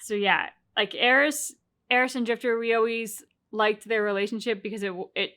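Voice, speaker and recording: A woman co-hosting a game reaction video, talking in an animated, rambling way with many restarts. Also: on the high side, normal speed. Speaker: co-host of a game reaction channel. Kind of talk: casual reaction chat.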